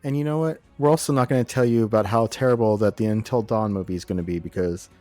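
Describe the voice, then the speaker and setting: A man's voice: lowish voice, fast, a little mellow, no echo, soft background music. A man co-hosting a podcast, chatting casually about movies in a rambling, sardonic way. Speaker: podcast co-host.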